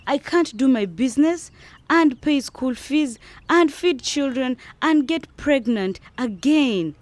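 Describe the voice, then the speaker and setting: A woman's voice: high voice, lively intonation, slow, no echo. A woman playing a distressed wife in a radio drama, pleading and insistent as she stands her ground.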